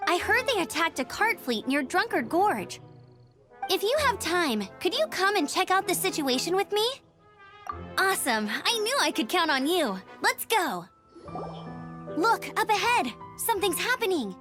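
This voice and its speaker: A perky young female scout in a video game. Her voice is very high and animated, eager and brisk, with faint music behind it. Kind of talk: video game character acting.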